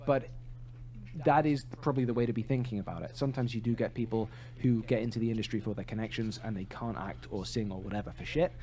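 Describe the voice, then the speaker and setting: A man's voice: fairly low pitch, steady tone, fast, mellow. A man co-hosting a podcast in casual, opinionated chat, emphatic and prone to swearing. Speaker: podcast co-host.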